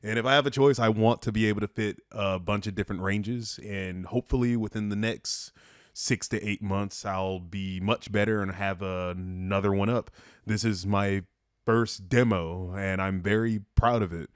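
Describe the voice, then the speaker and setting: A man's voice: fairly low pitch, fairly even intonation, normal speed, smooth, no echo, studio quality. A man talking casually and earnestly to listeners, an amateur voice actor with a modest manner. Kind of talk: casual closing address to listeners.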